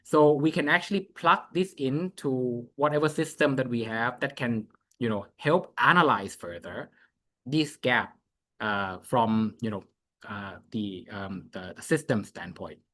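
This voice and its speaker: A man's voice: medium pitch, pushed and bright. Man presenting on a webinar, stop-start delivery, talks his way through the screen. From Thailand. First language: Thai. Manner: halting, thinking aloud while walking through a screen. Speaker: presenter giving a software demo. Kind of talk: live webinar demo.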